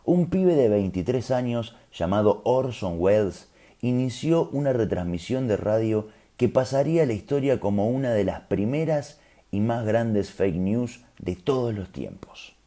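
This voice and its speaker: Argentine man narrating in a very dark, mellow voice. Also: on the low side, animated, unhurried. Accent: Rioplatense Argentine. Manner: conversational, explanatory. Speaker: storyteller host. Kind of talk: scripted narration with colloquial asides.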